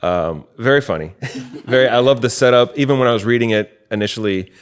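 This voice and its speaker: A man's voice: mid-range voice, brisk, clear, resonant tone. A man hosting a casual podcast interview, speaking in an informal, appreciative and loosely phrased way. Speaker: podcast host interviewing a playwright.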